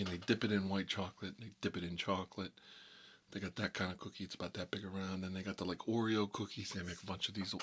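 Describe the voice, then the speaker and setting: A man's voice: low voice, a bit bright, slight background noise. A man playing a chatty, boastful American mob boss who rambles and repeats himself in a casual ASMR role-play monologue. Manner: folksy, repetitive, rambling, emphatic. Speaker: ASMR storyteller playing a recurring character.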